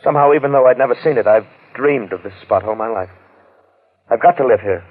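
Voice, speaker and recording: Male actor as intense, brooding recluse; mid-pitched, halting and solemn, narrow-band vintage radio drama recording. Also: close to an everyday voice. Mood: obsessed, resolute. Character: Lawson, brooding obsessed wealthy recluse in the jungle. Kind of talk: old-time radio drama acting.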